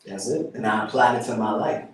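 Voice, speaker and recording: A man's voice: on the low side, fairly quick. A man with a DC area accent, a rapper guest talking casually, laid-back and often saying "you know what I'm saying". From Washington DC area. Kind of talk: casual interview chat. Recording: roomy, mic at a distance.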